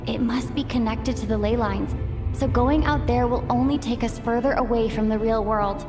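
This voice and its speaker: Actress as thoughtful young woman, higher voice over music. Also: lightly acted. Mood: troubled, pondering. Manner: deliberate, analytical. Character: thoughtful young woman reasoning through a grim mystery. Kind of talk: video game cutscene voice acting.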